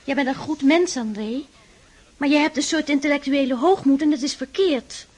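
An actress playing a shy, devout aristocratic sister in a Dutch radio drama, speaking earnestly and tenderly, with pleading admonishment. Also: female voice, high-pitched, moderate pace, darkish tone, natural voice. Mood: earnest, admonishing.

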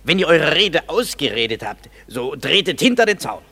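A man's voice: fairly high pitch, sing-song, normal speed, sharp tone, husky, heavily acted. An actor playing a male craftsman leading a play rehearsal, agreeable and fond of repeating himself. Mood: organizing. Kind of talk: German radio play acting.

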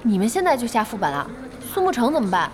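An actress playing a lively, fiery young female internet cafe boss in a Chinese audio drama, speaking in exclamations. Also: a little high, fast. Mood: eager. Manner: exclamatory, expressive. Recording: music behind the voice.

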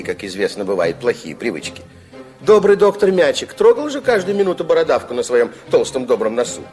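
A man narrating a fairy-tale radio play from the 1960s in an expressive, theatrical way. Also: mid-range voice. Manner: theatrical, storytelling.

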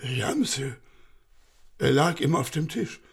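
Nervous servant, slow and gravelly. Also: male voice, a little high, expressive, exaggerated voice. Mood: deferential. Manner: hesitant, deferential. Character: deferential nervous male servant of a baron.

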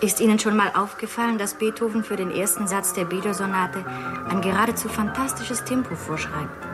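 An actress playing a polite, reflective, slightly hesitant concert pianist in a 1960s radio play. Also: female voice, mid-range voice, a little fast, over music, close to an everyday voice. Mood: puzzled, thoughtful.

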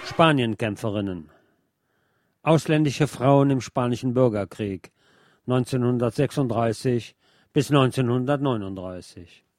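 A man's voice: medium pitch, a little slow, loud music behind the voice. A man reading scripted history documentary narration.

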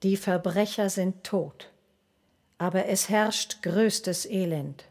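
Female radio narrator, very slow pace, medium pitch, scripted storytelling, prominent music behind. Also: darkish tone. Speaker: narrator of a radio documentary drama.

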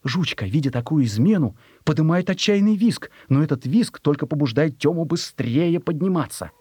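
A man narrating a story for an audio production, expressive and dramatic, with deliberate pauses. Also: fairly high pitch, breathy edge, dry recording. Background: music.

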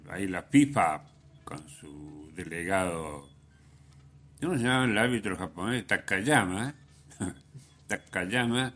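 Male radio host, Argentine accent, very deep register, unhurried delivery, colloquial and sardonic, thinking out loud. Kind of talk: casual rambling radio monologue.

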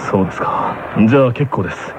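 An actor playing a stern, earnest teenage tennis captain in a radio drama, with a curt, formal deadpan manner. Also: male voice, lowish voice, fast talker, noisy background, close to an everyday voice. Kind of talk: scripted anime radio drama acting. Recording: dull lo-fi radio recording with music.

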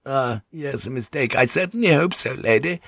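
A man's voice: medium pitch, lively intonation, normal speed, creaky, a bit bright, dry recording, clean studio sound, pitched above the performer's own voice. A man voicing a sly, aggrieved woman in an audiobook, falsely courteous, plaintive and insinuating. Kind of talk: audiobook character dialogue.

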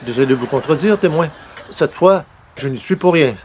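A man's voice: fairly high pitch, animated, normal speed, close to an everyday voice. Man playing a polite, weary everyman, faint noise. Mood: worried. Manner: polite, long-suffering, dry asides. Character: broke, sleep-deprived, polite newspaper columnist, cat owner. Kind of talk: old-time radio comedy acting.

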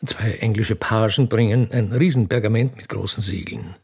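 A man's voice: on the low side, fairly even intonation, moderate pace, gravelly, a little mellow, slightly rough. A man with an Austrian accent reading scripted stage narration for a radio play.

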